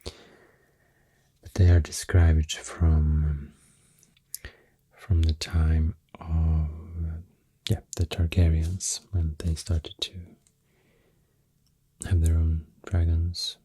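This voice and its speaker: A man with a Swedish accent speaking in a deep, soft, half-whispered ASMR ramble, halting and correcting himself, close to the microphone. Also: monotone, slightly rough, no room sound. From Sweden. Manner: hesitant, slow, halting, self-correcting. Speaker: ASMR artist.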